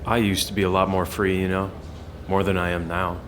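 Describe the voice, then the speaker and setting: An actor playing a confident, cagey hacker turned recruiter in an audio drama, speaking in a measured, self-assured and probing way. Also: male voice, sits low, little pitch movement, fairly bright tone, slight background noise. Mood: musing. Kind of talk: full-cast audio drama dialogue.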